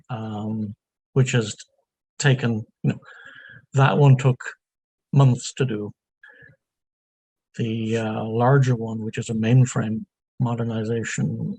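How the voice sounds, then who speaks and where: An older man from Dublin with an Irish accent, answering interview questions in a halting way with many ums and wry asides. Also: fairly low pitch, gravelly, a little mellow, breathy edge, no echo, poor audio. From Ireland. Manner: halting, frequent ums, self-deprecating asides. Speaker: guest, software consultant.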